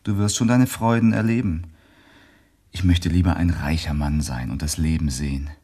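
A sober, ambitious young man in a radio play. His low, mellow voice has a husky edge and he speaks earnestly. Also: normal speed. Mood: firm, sober. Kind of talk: German radio play acting.

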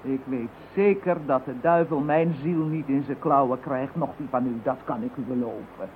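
An actress playing a calm, earnest woman who practices magic and tries to persuade a frightened man. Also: rumbling low, normal speed, a little hiss or hum. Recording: tinny lo-fi old radio recording.

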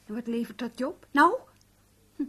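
A woman's voice: a little high, moderate pace, bright, forceful tone, husky. An actress playing a hopeful, nagging wife in a radio play, questioning insistently and stumbling over her words. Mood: needling. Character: hopeful, nagging working-class wife who believes in luck. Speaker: radio drama actor. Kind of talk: Dutch radio play dialogue.

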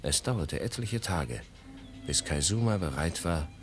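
A very deep male voice narrates a radio adventure over music. He is composed and measured, telling his story in a literary manner. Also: animated. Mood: reflective. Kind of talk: radio play scripted narration.

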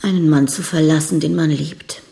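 An actress playing a brash, world-weary young bride-to-be who is coquettish, blunt and provocative, in a radio crime drama. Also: female voice, low-pitched, steady tone, moderate pace, vocal fry, dark tone. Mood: bitter, weary. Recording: studio radio drama with music underneath.

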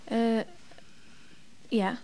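A woman's voice: very slow, mellow. An actress playing an earnest, admiring woman in a radio drama, with a natural, slightly hesitant delivery. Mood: evasive.

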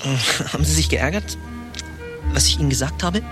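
A man's voice: fairly high pitch, brisk, close to an everyday voice. Uneasy office man played by an actor, music behind. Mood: guarded. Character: uneasy, shaken male office worker, guarded and suspicious. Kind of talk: German radio play acting.

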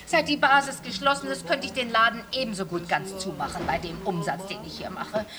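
A woman's voice: fairly high pitch, steady tone, brisk, crowd noise, close to an everyday voice. An actress playing a weary, chatty woman innkeeper in a radio play, lamenting her empty inn. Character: weary middle-aged woman innkeeper, complaining.

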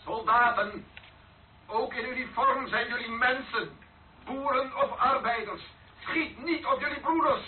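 A man's voice: extremely high pitch, a little slow, slight background noise. A man in a radio play acting a fervent priest who solemnly declaims to soldiers at an execution. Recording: distant, echoing, tinny old tape.

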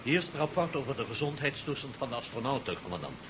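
An actor playing a measured, deferential ship's doctor in a 1970s science fiction radio play. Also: male voice, mid-range voice, very animated, natural voice. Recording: dull, noisy 1970s radio drama recording.

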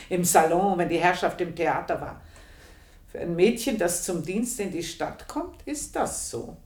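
A woman's voice: on the low side, echoey room. An actress playing an earthy, proud, aging housemaid, confiding her memories in a radio play monologue. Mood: wistful, frank. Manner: confiding, unhurried storytelling with pointed pauses. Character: earthy, proud aging housemaid reminiscing about past desires.

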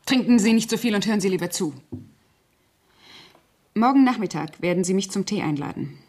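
A woman's voice: mid-range voice, lively intonation, a little fast, a little mellow, natural voice. An actress playing a cold, calculating woman in a German radio crime drama, speaking with precise, controlled command. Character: cold, calculating murderess posing as helpful household friend.

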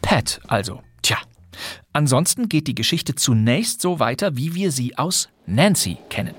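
A man's voice: medium pitch, sing-song, unhurried, breathy edge, no echo. Chatty male podcast host, close dry sound. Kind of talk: scripted presentation of classic radio plays.

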